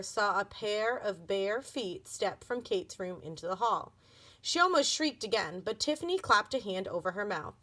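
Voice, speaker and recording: A woman reading a children's book aloud as an expressive storybook narrator. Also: mid-range voice.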